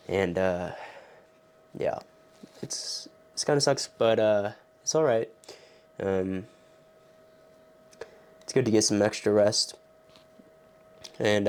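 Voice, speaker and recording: A young man chatting casually in a vlog about his training, his voice hoarse and throaty from a cold, rambling with many fillers. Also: lowish voice, darkish tone. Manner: loose and rambling, many ums and restarts. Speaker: vlogger training for a triathlon.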